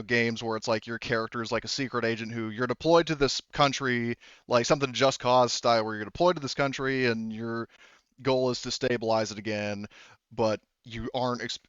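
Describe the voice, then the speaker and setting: A man with a clean, resonant voice at a middling pitch acts as game master for a tabletop game. He explains in stops and starts, circling back to reword himself. The sound is very dry and a little dull. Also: fairly even intonation, a bit bright, smooth, no echo. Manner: halting, repeats and rephrases mid-sentence. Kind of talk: explaining plot while running a tabletop roleplaying game.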